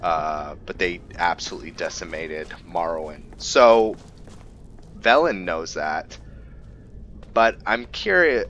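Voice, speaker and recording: A man giving casual roleplay game commentary in a musing, halting way. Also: low-pitched, sharp tone, a little hiss or hum. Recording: dull lo-fi mic, faint music. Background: faint game music.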